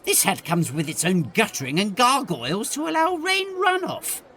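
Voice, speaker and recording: A fussy, petulant lord, swooping pitch. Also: female voice, very animated, normal speed, a bit bright. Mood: affronted, fussy. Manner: obsequious, petulant, pompous. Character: fussy, creeping, self-important male lord and tax sheriff. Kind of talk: audio comedy drama acting.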